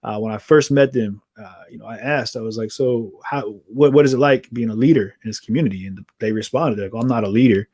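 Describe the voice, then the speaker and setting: Male host, mid-pitched voice, earnest rambling delivery, dry close mic. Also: fast, few pauses, a little mellow, dry recording. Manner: rambling, earnest, trails off and restarts. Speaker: podcast host. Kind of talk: conversational interview.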